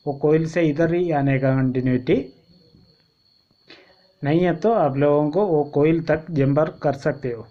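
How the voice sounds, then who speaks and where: A man giving an informal step-by-step repair tutorial, explaining in a halting, repetitive way. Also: a little high. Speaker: phone repair instructor and channel host. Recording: dull lo-fi home recording.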